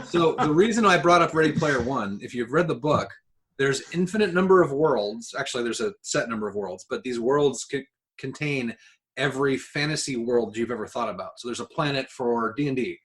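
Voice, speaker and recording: Blunt, medium-pitched man in casual podcast chat. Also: expressive, a little fast, fairly bright tone. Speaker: podcast panelist.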